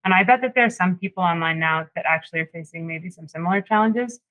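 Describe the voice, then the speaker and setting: Bright-voiced friendly panel moderator. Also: medium pitch, quick pace, rough recording. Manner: friendly, conversational, asks guests questions. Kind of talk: hosting a careers panel.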